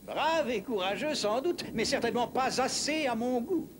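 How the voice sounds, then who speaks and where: High-voiced, haughty villain knight, noisy soundtrack. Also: male voice, expressive, moderate pace. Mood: sardonic, vengeful. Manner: sardonic, arrogant. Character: haughty, vengeful Norman Templar knight villain. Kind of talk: dubbed cartoon acting.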